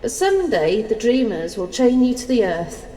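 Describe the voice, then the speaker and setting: A woman's voice: fairly high pitch, very animated, moderate pace, dark tone. An actress playing Life personified as a proud, hopeful woman, declaiming earnestly in a stage-like audio drama. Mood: confident. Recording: echoey hall, faint noise.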